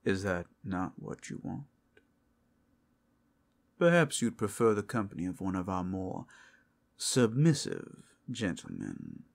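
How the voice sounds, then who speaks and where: ASMR roleplay werewolf man performing: flirtatious predator, slow teasing drawl, raspy low register. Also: wildly swooping pitch, heavily acted. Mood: sly, welcoming. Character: suave, predatory male werewolf companion at a fantasy brothel.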